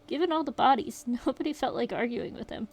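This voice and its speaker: A woman voicing a shaken young survivor, reading the account aloud in a steady, rueful way. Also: sits high, quick pace. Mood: grim retelling. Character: shaken young survivor recounting a horror night.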